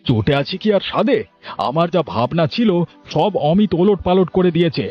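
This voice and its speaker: A man's voice: sits high, animated, moderate pace, slightly rough. A man voicing a scheming, stern elder brother from a farming village in a cartoon, with an argumentative, reproving manner. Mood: annoyed. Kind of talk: Bengali cartoon story dubbing. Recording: dull lo-fi audio, music bed.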